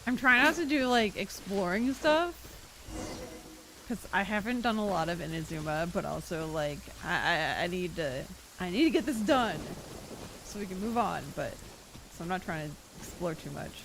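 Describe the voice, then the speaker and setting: A woman's voice: lowish voice, lively intonation, moderate pace, fairly bright tone, a little hiss or hum. A woman game streamer chatting casually and reacting as she plays, giggly and informal. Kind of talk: casual reaction chat while playing a game. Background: faint game music.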